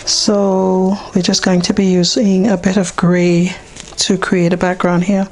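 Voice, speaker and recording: Hoarse woman talking through a painting. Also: fairly low pitch, flat delivery, darkish tone, faint music behind. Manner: unhurried, talks through each step with many ums. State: hoarse, partly lost voice. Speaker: painting teacher. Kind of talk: casual step-by-step painting demonstration.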